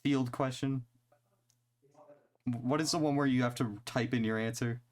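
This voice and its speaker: A man's voice: mid-range voice, steady tone, normal speed. A young man co-hosting a casual podcast, talking loosely, swearing freely and laughing easily. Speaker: podcast co-host. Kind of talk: casual chat.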